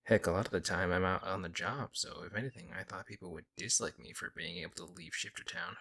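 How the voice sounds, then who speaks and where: A man playing a friendly young werewolf, a lone wolf who talks casually and teases gently in an ASMR audio roleplay. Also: low voice, lively intonation, fast talker, gravelly, heavily acted. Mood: casual, musing. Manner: casual, hesitant musing, gently teasing. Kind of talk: ASMR audio roleplay, intimate conversational acting.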